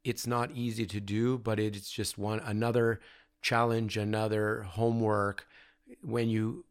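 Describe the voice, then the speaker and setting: A man's voice: lowish voice, flat delivery, unhurried, clean tone. A man speaking as an artist guest in an interview, thoughtful and rambling with many fillers, recorded in his studio. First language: not Czech; grew up in North America. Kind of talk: conversational interview.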